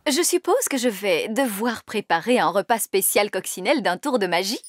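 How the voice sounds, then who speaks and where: A woman's voice: medium pitch, expressive, normal speed, no room sound, studio quality, close to an everyday voice. A voice actress playing a bossy, exasperated fairy woman in a French cartoon dub, theatrical and commanding. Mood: weary, bossy. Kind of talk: French animated series dubbing. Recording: loud music behind.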